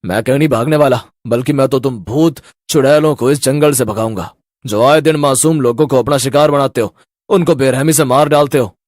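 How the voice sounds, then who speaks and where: A man voices a determined police inspector in a horror cartoon. His delivery is firm and brave, at a middling pitch. The recording is dry. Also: fairly quick, darkish tone, no echo, lightly acted. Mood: defiant. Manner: firm, resolute. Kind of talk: Hindi horror cartoon voice acting.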